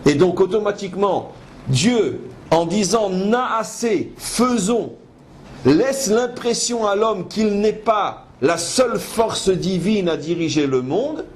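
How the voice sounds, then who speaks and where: Emphatic high-voiced man teaching religion. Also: expressive, unhurried, a little mellow. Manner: emphatic, didactic, rhetorical questions; switches between French and quoted Hebrew verses. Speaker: rabbi teaching a Torah class. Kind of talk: religious lecture with commentary on scripture. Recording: noisy live lecture recording.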